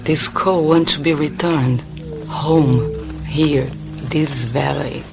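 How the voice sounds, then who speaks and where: A cryptic priestess with a gravelly edge in her voice. She speaks in short, calm riddles. A music score plays under her in this studio radio play. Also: low voice, slow, full character voice. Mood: enigmatic. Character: mysterious Brazilian Macumba priestess.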